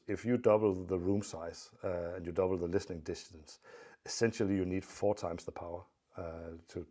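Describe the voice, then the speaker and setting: A man giving an informal technical explanation as an expert presenter, in a measured, matter-of-fact way. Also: low-pitched, dark tone, breathy edge.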